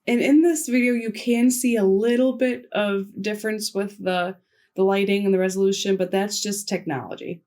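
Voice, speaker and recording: A woman voicing a signed conference keynote into spoken English, delivering it in short, measured phrases with pauses. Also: medium pitch, fairly even intonation, a little mellow. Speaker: sign language interpreter voicing a keynote. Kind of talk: simultaneous interpretation of a conference talk.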